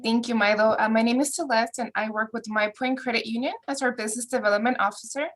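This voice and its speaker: A woman with a somewhat high voice introduces her work. She speaks as a business officer addressing an online meeting. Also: steady tone, quick pace. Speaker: credit union business development officer. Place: video call.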